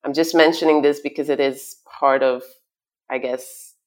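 A woman's voice: a bass voice, fairly even intonation, normal speed, a little mellow. A woman raised in Belgium, guest in an interview, talking about herself with many fillers and restarts. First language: French. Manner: hesitant, many fillers and restarts, laughs often. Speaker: guest, stylist. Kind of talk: conversational interview.

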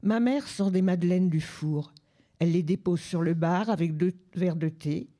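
A woman reading a first-person literary excerpt aloud in a calm, measured way at a library radio event. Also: on the low side. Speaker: reader at a book club radio show. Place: public library.

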